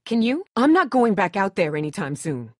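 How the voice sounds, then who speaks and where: A woman's voice: mid-range voice, very animated, moderate pace, no room sound, clean studio sound. A woman acting a tough, distrustful and protective survivor in a dramatic video game, blunt, sardonic and guarded. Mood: wary, bitter.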